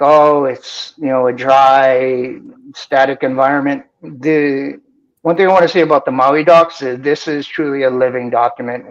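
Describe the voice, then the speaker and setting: A man from Boston speaks with a faint Boston accent and a gravelly, creaky edge. He explains technical steps with enthusiasm, filling pauses with "um." The audio is slightly dull, like a remote call mic. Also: mid-range voice. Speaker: guest developer. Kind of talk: technical talk-show chat.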